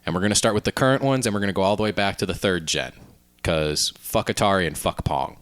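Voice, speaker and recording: A man with a lowish voice hosts a casual podcast. He explains things conversationally, with a dry, wry edge. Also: quick pace, a bit bright, smooth. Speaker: podcast host. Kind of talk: casual chat.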